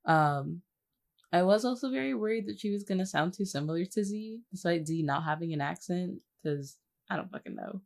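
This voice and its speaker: Female podcast co-host, deep register for a woman, informal, hesitant, self-deprecating delivery. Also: animated, a little fast, vocal fry. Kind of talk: casual chatty behind-the-scenes discussion.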